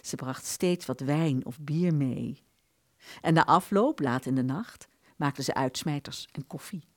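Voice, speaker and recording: Lowish, animated woman narrator. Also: a little slow, no echo, clean studio sound. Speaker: radio play narrator. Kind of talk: scripted literary narration.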